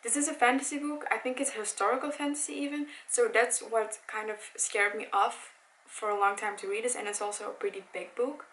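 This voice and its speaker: A woman's voice: on the high side, steady tone, brisk, fairly bright tone, poor audio. Chatty young Dutch-speaking woman. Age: 20s. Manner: chatty, enthusiastic, with frequent self-corrections and "like". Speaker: book vlogger. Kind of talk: casual chat. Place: home room by a bookshelf.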